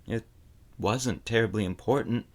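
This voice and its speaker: A man voicing a timid, bullied little boy who speaks softly and hesitantly in a storybook reading. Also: lowish voice, moderate pace. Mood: meek but defiant. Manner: soft, hesitant, stammering. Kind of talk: audiobook character acting.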